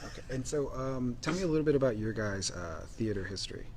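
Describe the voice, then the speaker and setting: A man's voice: medium pitch, animated, fast, darkish tone. A man interviewing two theater directors, asking questions with many fillers and restarts. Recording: faint background noise.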